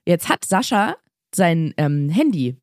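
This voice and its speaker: Woman, hoarse, raspy, playful, giggly, lively pitch, dry close sound. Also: slow pace, no room sound. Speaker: podcast co-host. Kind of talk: casual chat.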